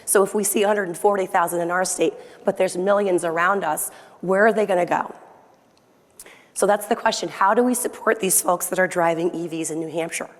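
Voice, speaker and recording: Hoarse-ish woman giving a friendly panel talk, lowish voice, big reverby hall. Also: steady tone, fairly quick. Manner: friendly, conversational presenter with rhetorical questions. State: losing her voice, slightly hoarse. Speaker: state environmental official, panelist. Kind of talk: conference panel presentation. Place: conference hall. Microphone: handheld microphone.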